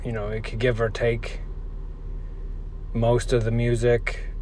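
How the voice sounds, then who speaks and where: A man of about forty talks slowly in a halting, reflective way, as if thinking aloud. It is a casual solo monologue recorded in a car, with clear road and engine noise around the voice. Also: mid-range voice, completely flat delivery, a little mellow. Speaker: vlogger, musician. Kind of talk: casual rambling monologue while driving. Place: inside a moving car.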